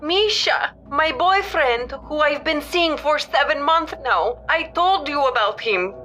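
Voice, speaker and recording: High, bright, distraught sister. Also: female voice, animated, measured pace, faint music behind, heavily acted. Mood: upset, insistent. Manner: emotional, exasperated. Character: distraught, lovesick younger Russian sister, touchy and insistent. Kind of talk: audio drama acting.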